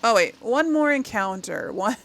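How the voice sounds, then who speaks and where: A woman's voice: a little high, expressive, moderate pace, fairly bright tone. A woman giving casual gameplay commentary, thinking aloud to viewers in short reactive remarks. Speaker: gaming video host. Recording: clear game noise behind voice. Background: game sound effects and ambience.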